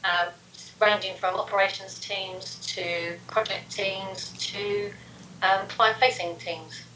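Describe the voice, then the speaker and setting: A woman's voice: mid-range voice, steady tone, takes it slowly, bright, forceful tone, far from the mic. A middle-aged woman with a London accent answering interview questions, friendly but hesitant, with lots of ums as she lists things. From London, England. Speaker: interview guest, personality test practitioner. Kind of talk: casual interview. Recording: echoey room, faint noise, off-mic.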